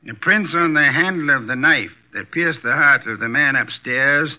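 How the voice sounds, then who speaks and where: An actor playing an imperious, erudite armchair detective with formal, deliberate diction, in 1950s radio drama style. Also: male voice, a little high, close to an everyday voice. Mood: methodical, grave. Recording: dull vintage 1950s radio recording.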